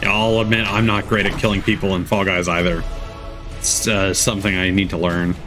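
A man's voice: low voice, level tone, moderate pace, over music. A man hosting a casual reaction stream, chatting and commenting with sarcasm and plenty of swearing. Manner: sarcastic, foul-mouthed. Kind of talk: casual chat, live commentary. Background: game trailer audio.

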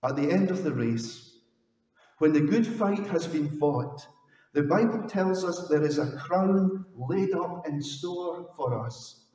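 Slow, solemn older man preaching in church. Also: fairly high pitch, expressive, dark tone, recorded from a distance. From Scotland. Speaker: minister. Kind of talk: sermon. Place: large stone church. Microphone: headset mic. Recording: echoey church hall from afar.

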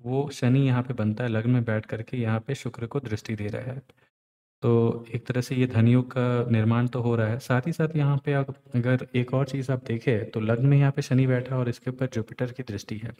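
Man, astrologer teaching, mellow voice, long reverb, on words. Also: medium pitch. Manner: explanatory, mixes English phrases into Hindi. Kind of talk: screen-recorded chart analysis. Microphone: voice-over on screen recording.

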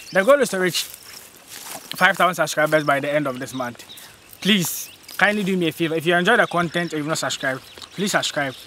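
A man's voice: on the high side, sharp tone, a little hiss or hum. A young man with a Ghanaian accent hosting a farming channel, chatting eagerly with viewers and a guest out in a farm field. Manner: upbeat, chatty, pleads with viewers. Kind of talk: casual outdoor vlog interview. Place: open farm field outdoors. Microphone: handheld phone.

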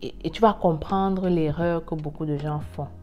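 A woman's voice: low voice, fairly even intonation, moderate pace, muffled recording, faint music behind. A woman in her thirties hosting an informal explainer video, talking directly and emphatically and repeating key words for stress. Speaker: video host and immigration coach. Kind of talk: informal explainer talk to camera. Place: indoor room at home. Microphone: lapel mic.

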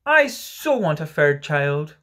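A man voicing a gentle, placid mother in a storybook, soft, tender and wistful. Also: a little high, sing-song, slow pace, heavily acted. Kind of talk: storybook character voice.

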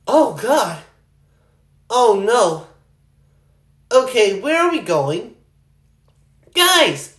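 High, echoey amateur cartoon voice actress. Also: female voice, a bit bright. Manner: exclamatory, theatrical short bursts. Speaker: amateur voice actor. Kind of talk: performed cartoon character voicelines. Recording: roomy, echoey home recording.

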